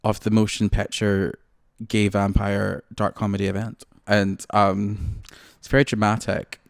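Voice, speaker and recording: A Scottish man in his late twenties with a lowish voice, rambling through casual podcast chat with jokes at his own expense. The sound is dry and close, with no room around it. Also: monotone, a little slow, gravelly, no room sound. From Scotland. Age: late 20s. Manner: rambling, joking, self-deprecating. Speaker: podcast co-host.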